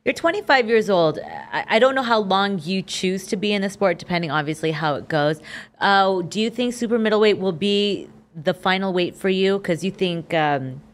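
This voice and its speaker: A woman's voice: mid-range voice, expressive, normal speed. A woman hosting a boxing podcast, asking long conversational questions. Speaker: podcast host. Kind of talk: interview questions.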